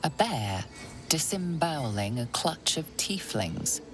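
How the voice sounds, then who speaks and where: A woman narrating a fantasy video game as a measured, dramatic storyteller. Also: low-pitched, sing-song, soft background music, exaggerated voice. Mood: measured, wry. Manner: deliberate, dramatic.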